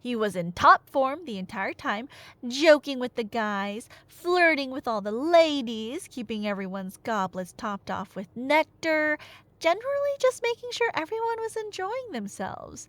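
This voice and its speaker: A woman's voice: high voice, big pitch swings, measured pace, a bit bright, no room sound. A woman telling a story in a casual, playful roleplay as a nerdy girlfriend, conversational and teasing, with dramatic emphasis, close to the microphone. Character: nerdy girlfriend excitedly retelling Greek myths. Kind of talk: animated casual storytelling roleplay to a listener, ASMR audio.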